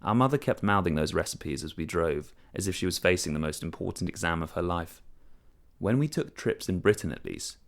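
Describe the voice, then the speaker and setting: Low-voiced man reading a story, gravelly edge. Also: animated, fairly quick. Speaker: short story reader. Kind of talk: scripted literary narration, first-person story reading.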